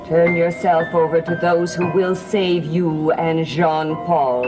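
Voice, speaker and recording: Slow, solemn, commanding woman. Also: on the low side, steady tone, over music. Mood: hypnotic, commanding. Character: stern, knowing woman commanding like a hypnotist. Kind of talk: gothic soap opera acting.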